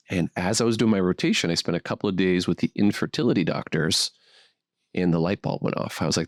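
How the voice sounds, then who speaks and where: A man in his thirties with a low-pitched voice recorded dry and close. He tells his story as a podcast guest, upbeat and chatty, poking fun at himself. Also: no room sound. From born in Brazil, raised in Miami. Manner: chatty, upbeat storyteller with self-deprecating humor. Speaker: fertility doctor, guest. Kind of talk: relaxed podcast interview.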